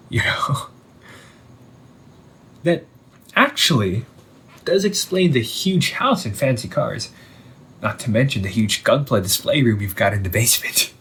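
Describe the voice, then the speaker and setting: A man playing an undead older brother returned from the sea, talking in a hushed, halting, teasing way in an audio roleplay. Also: fairly low pitch, breathy edge, exaggerated voice. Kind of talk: audio roleplay monologue to the listener.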